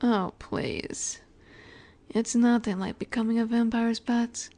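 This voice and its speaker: Soft, slow-speaking vampire seductress, acted by a woman. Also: fairly high pitch, fairly even intonation. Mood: coaxing, earnest. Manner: soft, breathy, measured, often dropping to a whisper. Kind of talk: intimate scripted audio roleplay.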